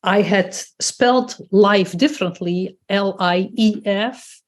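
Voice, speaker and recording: Slow, musing woman. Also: mid-range voice. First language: Dutch. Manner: thoughtful, musing. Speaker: workshop participant. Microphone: video call.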